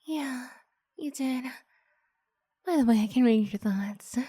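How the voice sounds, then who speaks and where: Woman playing a breathy, coaxing young vampire girl. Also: sits high, dry recording, clean studio sound, full character voice. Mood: playful, menacing. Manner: hushed, halting, often slipping into breathy whisper. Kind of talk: ASMR roleplay, improvised.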